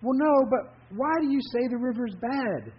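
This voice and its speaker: A man's voice: lively intonation, moderate pace, breathy edge, exaggerated voice. A man voicing a curious, earnest young river dweller who pleads in a raised voice. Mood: puzzled. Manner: eager, pleading, raises pitch high. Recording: dull lo-fi recording.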